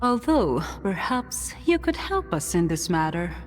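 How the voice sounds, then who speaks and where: An actress playing a coolly persuasive, calculating woman of authority in a video game, speaking in a measured, politely menacing way. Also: on the low side, sing-song, a little hiss or hum. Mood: probing. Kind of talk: video game cutscene acting. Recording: long hall reverb, music underneath.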